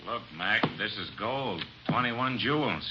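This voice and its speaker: Surly low-voiced young crook. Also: male voice, expressive, measured pace, over music. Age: young adult. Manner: sullen, slangy, sardonic. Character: surly, cocky young male thief, evasive suspect. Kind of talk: 1950s radio crime drama acting. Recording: dull, noisy old radio recording.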